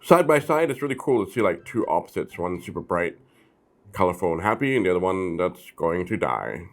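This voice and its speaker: A man's voice: low-pitched, very animated, brisk. A man hosting a casual, chatty product review, talking informally and playfully straight to his viewers. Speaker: host of a brick-set review channel.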